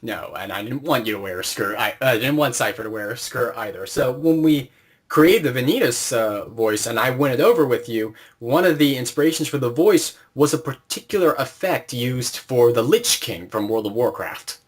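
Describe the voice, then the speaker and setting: A man's voice: mid-range voice, expressive, moderate pace, husky. A man conducting a casual interview, explaining things informally as the interviewer. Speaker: interviewer and series creator.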